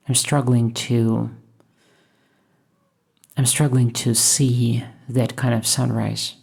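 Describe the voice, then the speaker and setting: A woman from Russia, thoughtfully talking over her painting process in a hesitant, musing way with many pauses. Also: extremely low pitch, creaky, a little mellow, slightly rough. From Moscow, Russia. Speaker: painter and channel host. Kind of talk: reflective voice-over while painting.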